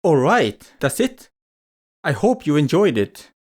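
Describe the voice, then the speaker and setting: A man's voice: fairly high pitch, very animated, unhurried, darkish tone, a little hiss or hum. A man giving an explanatory voice-over for a craft tutorial. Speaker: craft vlogger narrating a tutorial.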